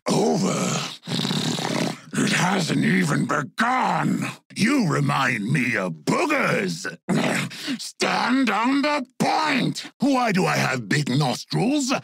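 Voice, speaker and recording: An actor voicing a goofy, excitable blue orc shaman for a video game, gravelly and exaggerated, with a childlike, dim but gleefully destructive manner. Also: high-pitched, drawn-out words, no echo, heavily acted. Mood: boastful, goofy. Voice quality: gravelly, raspy. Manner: exaggerated, childlike, simple-minded delivery. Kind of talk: video game character voice lines.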